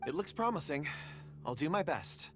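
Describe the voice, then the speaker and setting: An eager, polite young scholar. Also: male voice, fairly high pitch, moderate pace, heavy background noise. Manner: polite and cheerful, lively intonation. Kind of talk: video game voice acting.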